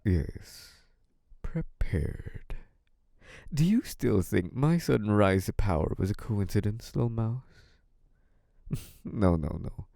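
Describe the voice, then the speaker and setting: An actor playing a possessive, scheming young mafia boss in a theatrical, condescending, menacing monologue. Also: male voice, lowish voice, animated, vocal fry, no echo, clean studio sound, full character voice. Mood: smug, sinister. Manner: menacing, condescending, theatrically drawn-out delivery with dark chuckles. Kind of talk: theatrical scripted audio roleplay monologue.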